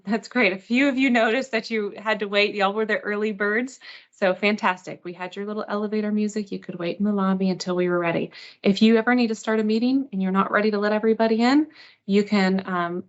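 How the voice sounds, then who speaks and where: A woman hosting a live software training webinar in an informal, self-deprecating, reassuring way. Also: medium pitch. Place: online video meeting. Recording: dull lo-fi online meeting audio.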